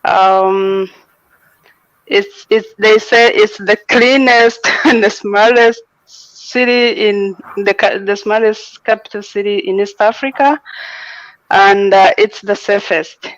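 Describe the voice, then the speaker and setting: A woman's voice: mid-range voice, fairly even intonation, slow, no echo. A woman from Rwanda, a panel guest chatting casually on a video call with many fillers and restarts. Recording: dull lo-fi call audio.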